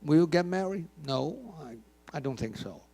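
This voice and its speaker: A man's voice: fairly high pitch, animated, normal speed, vocal fry, mellow. An older man giving a conference keynote, emphatic, asking the audience questions and often repeating words. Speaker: keynote speaker. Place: conference hall.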